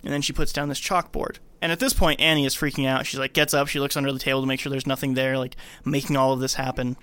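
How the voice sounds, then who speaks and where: A man hosting a podcast, retelling a movie scene by scene in casual, slangy, animated speech. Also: mid-range voice, fast talker, clean tone, no echo. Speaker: podcast host.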